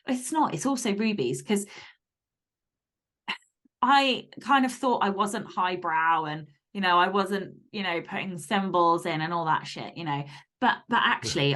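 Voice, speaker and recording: A woman with a slightly low voice talks casually as a podcast guest. She is candid and blunt, stressing her points with feeling and swearing freely. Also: fairly bright tone. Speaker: podcast guest, fiction author. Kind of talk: casual, candid interview chat.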